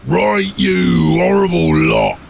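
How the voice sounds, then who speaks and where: A man voicing a gruff ork submarine captain in an audiobook, gravelly and bellowing like a drill sergeant with rough grammar. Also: high-pitched, fairly even intonation, a little hiss or hum, pitched above the performer's own voice, exaggerated voice. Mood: barking orders. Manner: drawn-out, emphatic bellowed delivery with rough grammar.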